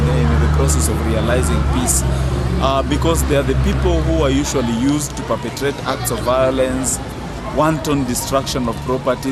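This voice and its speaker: A man with a Kenyan accent giving earnest, deliberate interview answers as a youth organizer, often repeating phrases. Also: mid-range voice. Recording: loud music and crowd behind.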